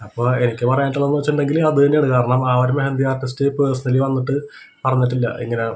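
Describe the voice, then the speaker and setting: A man's voice: mid-range voice, flat delivery, very fast, darkish tone, a little hiss or hum. A man with a Kerala accent giving unscripted reaction commentary, explaining his points and often checking that listeners follow. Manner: explanatory, repeats check-in tag questions.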